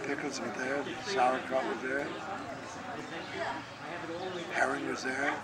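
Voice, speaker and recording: An older man in his eighties or nineties, remembering his working life in a slow, halting way with many pauses, recorded outdoors at a picnic. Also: mid-range voice, flat delivery. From New Jersey, US. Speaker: interviewee. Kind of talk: casual reminiscing interview. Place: outdoor family picnic in a park. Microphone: camcorder mic a little distance off. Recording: busy outdoor crowd noise. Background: crowd at a picnic.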